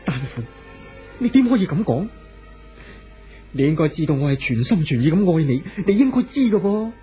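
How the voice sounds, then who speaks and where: An actor playing an anxious, devoted husband in a 1970s Hong Kong Cantonese radio drama, earnest and coaxing. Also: male voice, on the high side, sing-song, moderate pace, warm tone. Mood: hurt, protesting. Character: anxious, pleading, devoted wealthy young husband. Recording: dull vintage radio recording with music.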